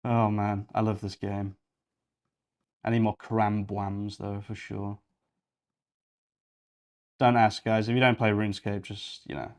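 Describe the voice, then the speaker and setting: A man's voice: lowish voice, little pitch movement, measured pace, long pauses, a little mellow. A young man from Yorkshire, chatting casually and thinking aloud while hosting a coding livestream. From Yorkshire, England. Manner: rambling, thinking aloud while clicking around.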